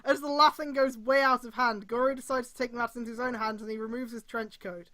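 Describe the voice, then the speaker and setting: A woman's voice: a little high, fairly even intonation, fast, bright, forceful tone. A woman reading fan fiction aloud on a stream, breaking off with exasperated mocking comments. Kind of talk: live dramatic read-aloud with mocking commentary.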